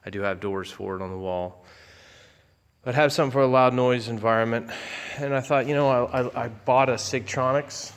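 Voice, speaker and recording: A man giving a casual, unscripted walkthrough as an aircraft owner, rambling and halting in a folksy way. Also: mid-range voice, clean tone. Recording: long hall-like reverb.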